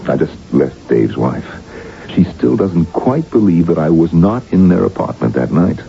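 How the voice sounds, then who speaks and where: An actor in an early 1980s radio drama, playing a tired, troubled doctor. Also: male voice, sits low, big pitch swings, normal speed, creaky, a little hiss or hum, full character voice. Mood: weary. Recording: muffled old radio broadcast, faint music.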